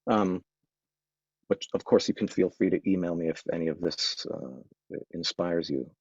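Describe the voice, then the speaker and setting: A man's voice: low-pitched, unhurried, vocal fry, a little mellow, breathy edge, no room sound. A man giving an informal lecture on Taoist medicine. He has a cold and sniffles, and he talks thoughtfully with frequent ums and restarts. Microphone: microphone. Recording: dull, dry lo-fi sound.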